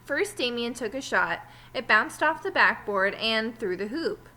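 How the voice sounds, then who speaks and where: A woman teacher reading a children's story aloud with animated, emphatic delivery. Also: fairly high pitch, measured pace.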